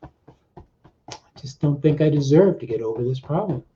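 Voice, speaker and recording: A man working as a coach, leading a guided self-help exercise in short, deliberate, repeated phrases with pauses between them. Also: fairly high pitch, expressive, dark tone, a little hiss or hum. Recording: dull, muffled lo-fi audio.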